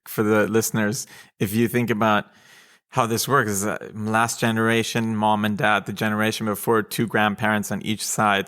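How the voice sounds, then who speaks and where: A man co-host with an American accent, though he grew up in Germany, lays out ideas for the listeners in a casual way. His voice sits a little low. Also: a bit bright. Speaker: podcast co-host.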